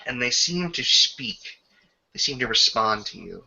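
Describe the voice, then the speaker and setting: Man, very bright forceful tone, gravelly husky voice, tabletop game narration, dull lo-fi audio. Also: mid-range voice, big pitch swings, unhurried. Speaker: game master. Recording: muffled lo-fi voice recording.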